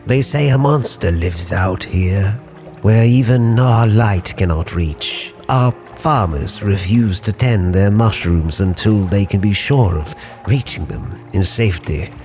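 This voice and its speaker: Man as a slow, worried rodent islander. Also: lowish voice, darkish tone, faint music behind. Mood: worried, uneasy.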